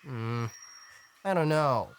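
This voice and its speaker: A woman as a wary child, swooping pitch. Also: lively intonation, slow pace, heavily acted. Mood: suspicious. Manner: suspicious, officious. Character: wary, grumbling child lieutenant of a flying boy. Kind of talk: audio drama acting. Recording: clear background noise, outdoor ambience effects.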